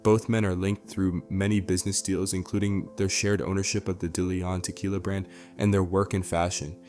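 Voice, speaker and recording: A man with a low voice narrates scripted celebrity news. His delivery is measured and dramatic, with soft music playing underneath. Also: level tone. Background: background music.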